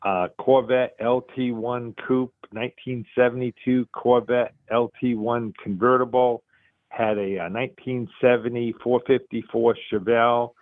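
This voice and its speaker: A middle-aged man with a Boston accent, a guest telling stories in a relaxed, rambling way with many fillers. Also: mid-range voice, measured pace, no room sound. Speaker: guest, car museum founder. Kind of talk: casual interview storytelling. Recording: tinny phone line.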